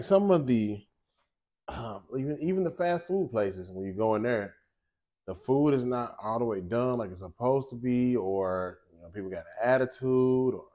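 Chatty forties male cook-show host, mid-pitched, talks to camera from his home kitchen. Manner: chatty, animated, talks straight to viewers. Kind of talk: casual cooking chat and monologue.

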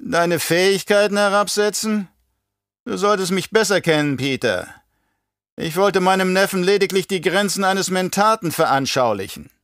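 A man's voice: high-pitched, normal speed, fairly bright tone, no echo, studio quality, voice pushed up. A man playing a scheming, imperious older baron in an audiobook, with a mocking, condescending, theatrical delivery. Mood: falsely sweet. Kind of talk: audiobook character acting.

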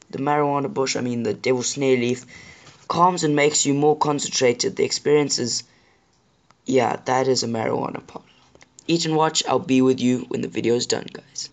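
A man with a sore throat hosts a gaming channel, talking over his play at a middle pitch. His voice sounds a bit rough, and he chats casually and apologetically to his viewers. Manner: chatty, apologetic, addresses viewers directly. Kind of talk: casual gameplay commentary.

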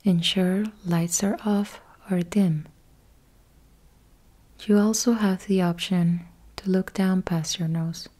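A woman with a soft, very mellow and slightly low voice guides a yoga nidra practice for sleep. She speaks very slowly, in short measured phrases with pauses between them. Her delivery is hushed and soothing, trailing into breath at the ends of phrases. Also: fairly even intonation.

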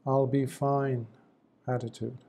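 A man leads a guided meditation in a very dark, soft-edged voice. He speaks slowly and gently, offering short phrases of instruction and leaving long pauses between them. His delivery is that of a monk teaching calm practice. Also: mid-range voice.

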